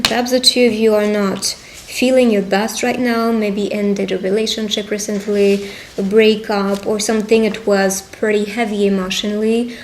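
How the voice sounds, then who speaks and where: Female tarot reader, medium pitch, addressing the listener intimately in short, affirming, unhurried phrases. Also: darkish tone, slight background noise. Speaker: tarot reader hosting a roleplay.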